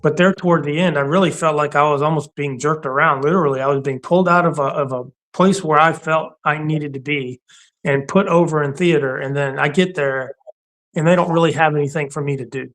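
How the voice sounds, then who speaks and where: A man's voice: on the high side, fairly even intonation, brisk, a bit bright. A middle-aged man and Army veteran who co-hosts a casual conversation, making his points bluntly and repeating them for emphasis, with frequent laughs. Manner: laughs often between points. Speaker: co-host; Army warrant officer veteran.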